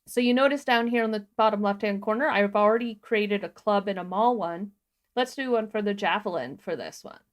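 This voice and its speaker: A woman's voice: medium pitch, a little fast. A woman co-hosting a livestreamed tutorial, informally instructing and talking through each step as she does it. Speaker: livestream co-host and software instructor. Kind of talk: casual step-by-step live tutorial.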